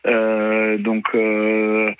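A young man calls in to a radio interview, stopping often on filler sounds and starting sentences over. His voice sits at an ordinary pitch. It comes through a thin phone line with a dry, close sound. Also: completely flat delivery, very slow, smooth, no room sound. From Italy. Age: 20s. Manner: hesitant, many filler sounds and restarts. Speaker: radio guest.

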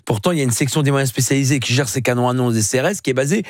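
Fast-talking man from northern France. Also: mid-range voice, no room sound, studio quality. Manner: insistent, repetitive denials, many fillers. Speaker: political guest. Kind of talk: fast combative TV debate talk.

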